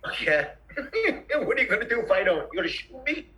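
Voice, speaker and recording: A woman's voice: mid-range voice, big pitch swings, brisk, sharp tone. A woman playing a bitter, foul-mouthed male tax auditor in a screenplay table read, irritable and sarcastic. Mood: sarcastic contempt.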